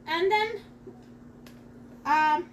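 Middle-aged woman, very high voice, excitable home-kitchen demo host, slightly off-mic. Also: very slow, distant mic, a little hiss or hum. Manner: chatty and excitable, talks straight to viewers. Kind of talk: live cooking demonstration. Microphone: camera across the counter.

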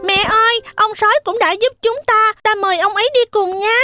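A woman's voice: very high voice, steady tone, fairly quick, pushed and bright, soft background music. A woman voicing a friendly little girl goat kid in a children's cartoon.

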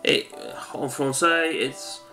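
A man's voice: on the high side, fairly even intonation, slow pace, pushed and bright, faint music behind. A wheezy man in his forties, rambling through a casual how-to demonstration in his home study. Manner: rambling, chatty, self-correcting. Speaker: home video maker. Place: cluttered home study, indoors.

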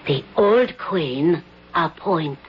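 An actress in a 1970s radio drama playing an anxious foreign palace maid who speaks broken, halting English. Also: female voice, sits low, lively intonation, slight background noise, exaggerated voice. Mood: earnest. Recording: dull 1970s radio broadcast.

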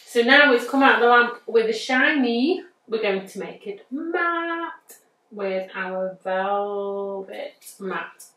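A woman's voice: fairly high pitch, lively intonation, slow pace, bright, forceful tone. A woman in her thirties with a northern English accent, talking through a nail art design step by step in a chatty, easygoing way as she thinks aloud. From England. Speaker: nail artist demonstrating a design. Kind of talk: casual step-by-step tutorial talk. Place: bright indoor studio. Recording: roomy, distant mic.

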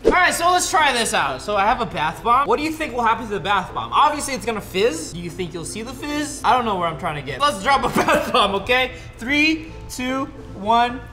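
A man's voice: extremely high pitch, sing-song, brisk, hardly any pauses, bright, forceful tone, soft background music. A young man hosting a goofy challenge vlog, joking and giggling as he talks to viewers from a hot tub on an indoor set. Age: young adult. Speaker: YouTube host.

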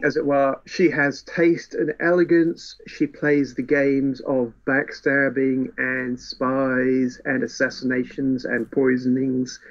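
A man's voice: medium pitch, flat delivery, slow, hardly any pauses. A man in a casual book discussion, telling the story with wry relish. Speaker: podcast co-host. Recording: dull, muffled lo-fi audio.

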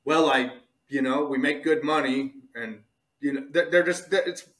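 A man's voice: mid-range voice, moderate pace, a bit bright. Earnest, emphatic man hosting a podcast. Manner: earnest, emphatic, repeats points, slangy with "bro" and "dude". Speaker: podcast host. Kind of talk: casual motivational podcast talk.

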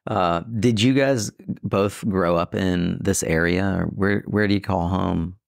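Deep gravelly-voiced podcast host. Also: male voice, unhurried, no room sound, clean studio sound. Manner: curious, asks open questions. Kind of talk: casual podcast interview. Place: office set, indoors. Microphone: table podcast mic.

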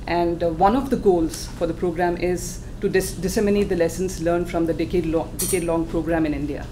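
A soft-spoken woman giving a conference presentation as a panelist in a meeting room. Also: lowish voice, fairly even intonation, brisk, a little hiss or hum.

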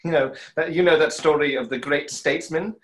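A man's voice: on the high side, fairly quick, lightly acted. A man in his thirties playing a wistful, self-doubting stage conjurer in a play read over a video call, hesitant and reflective. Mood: wistful, storytelling. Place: small home room, on a video call. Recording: roomy echo of a video call.

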